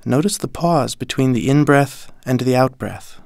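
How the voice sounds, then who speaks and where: Man calmly guiding a meditation, dry close sound. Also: medium pitch, creaky, no room sound. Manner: calm, deliberate, instructive. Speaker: meditation teacher. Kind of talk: guided breathing meditation, measured instruction.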